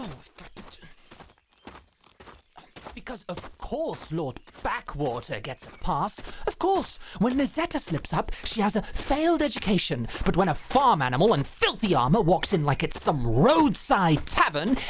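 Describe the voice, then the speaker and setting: A woman's voice: medium pitch, very animated, measured pace, a little hiss or hum. A woman playing a proud, short-tempered knight in a fantasy audio drama, with a formal, clipped and tightly controlled manner. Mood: seething, sarcastic.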